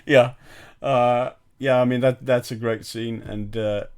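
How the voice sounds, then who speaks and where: A man's voice: medium pitch, fairly even intonation, measured pace, darkish tone, smooth. A man co-hosting a film podcast, retelling movie scenes in a rambling, casual way with many restarts and laughs. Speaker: podcast co-host.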